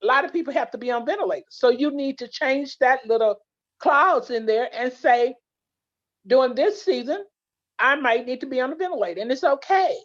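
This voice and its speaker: A woman's voice: high-pitched, expressive, fairly quick. Earnest storytelling woman. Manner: earnest storytelling with many restarts. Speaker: guest, legal plan representative. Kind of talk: interview conversation. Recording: dull lo-fi sound.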